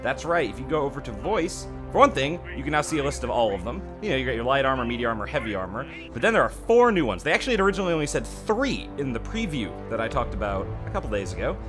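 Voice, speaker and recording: A man's voice: mid-range voice, sing-song, quick pace, hardly any pauses, music in the background. A man hosting a gaming video, commenting casually and conversationally with wry asides. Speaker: gaming channel host. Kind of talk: casual game update commentary.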